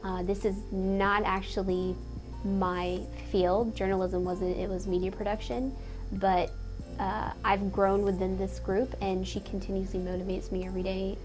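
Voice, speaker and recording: A young woman giving a plain answer about herself in an interview. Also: medium pitch. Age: young adult. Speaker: student media member.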